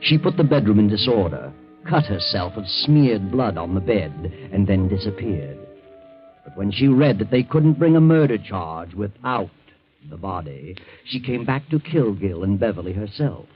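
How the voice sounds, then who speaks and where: Creepy mocking radio narrator, real deep voice, old muffled 40s recording w/ music. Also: male voice, very animated, moderate pace. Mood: gloating, ominous. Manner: ominous, mocking, chuckling. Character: the Whistler, sinister gloating male storyteller of crime tales. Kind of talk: 1940s radio mystery narration.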